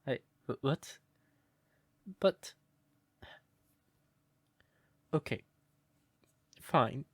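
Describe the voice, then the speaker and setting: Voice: mid-range voice, very slow, a bit bright, no room sound. A performer voicing a shy, smitten crow turned human in an intimate comfort roleplay, hesitant and stammering, naively earnest. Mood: flustered, shy.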